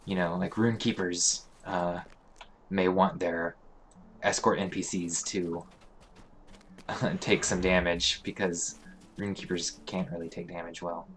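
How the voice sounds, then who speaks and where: A man hosting a let's play, talking casually through a game with a hoarse, congested voice and many fillers. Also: low voice, a little hiss or hum. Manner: relaxed, halting, thinking aloud with many fillers. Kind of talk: casual gameplay commentary.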